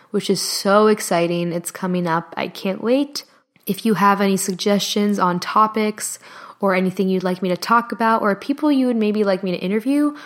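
A young adult woman hosting a solo motivational podcast, earnest and encouraging, her voice slightly hoarse from talking. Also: medium pitch. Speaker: podcast host. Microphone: studio microphone.

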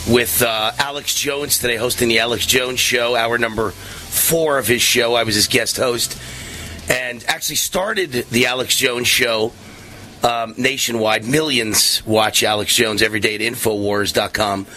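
A middle-aged man hosting a talk radio show, hoarse and losing his voice, rambling and repeating himself with many fillers. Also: medium pitch, fairly even intonation, unhurried, pushed and bright, a little hiss or hum. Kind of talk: casual monologue on talk radio.

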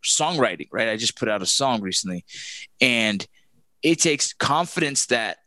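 A man's voice: mid-range voice, animated, moderate pace, bright, forceful tone, no room sound. A man hosting a casual interview, conversational and repeating words as he forms his questions. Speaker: podcast host, army veteran.

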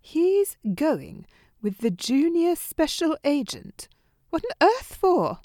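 A woman's voice: high voice, sing-song, slow, dark tone, no echo, studio quality, heavily acted. A woman playing a vain, flirtatious, competitive glamorous friend in an audio drama, theatrical and teasing. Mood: arch, indignant.

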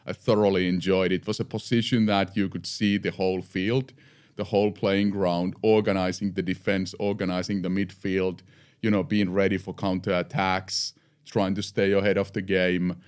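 A middle-aged man with a Finnish accent and a very low voice speaks English as a guest on a podcast interview. He talks reflectively, repeating words and restarting as he goes. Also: flat delivery, moderate pace, a little mellow, clean tone. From Finland.

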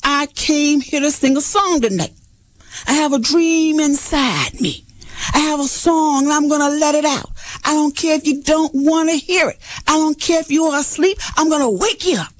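A woman's voice: high-pitched, normal speed, dry recording, natural voice. A woman voicing an earnest, eager young male frog in a dramatic, insistent way for a children's story. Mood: defiant.

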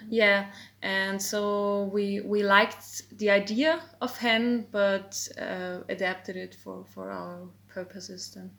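A woman whose first language is German speaks casually and jumps in to add to her partner's answers. She is a podcast guest, and her voice sits at a middling pitch. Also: steady tone, takes it slowly, creaky, a bit bright. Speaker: guest, language project co-creator. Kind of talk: conversational interview answers.

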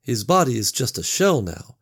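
Guy doing a jittery nerdy dude, rambly, husky voice. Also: medium pitch, big pitch swings, moderate pace, a bit bright, pitched above the performer's own voice, full character voice. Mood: uneasy. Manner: hesitant, rambling. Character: nervous bullied young man, zombie-lore nerd.

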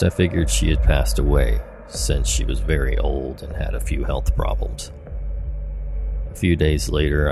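A man narrating scary stories in a measured, low-key scripted delivery. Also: vocal fry, mellow. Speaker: horror story narrator.

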